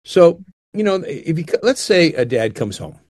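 A man's voice: a little high, sing-song, normal speed, darkish tone, no echo, studio quality. An older man talking earnestly and unhurriedly about marriage, often restarting his phrases. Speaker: guest speaker on marriage. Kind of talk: reflective conversational talk.

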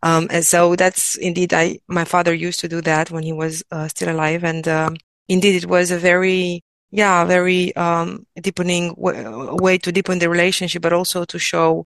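Thoughtful low-voiced woman host. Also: fairly even intonation, normal speed, gravelly, no echo. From Romania. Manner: thoughtful, hesitant, many fillers. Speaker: host, interviewer. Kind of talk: conversational interview.

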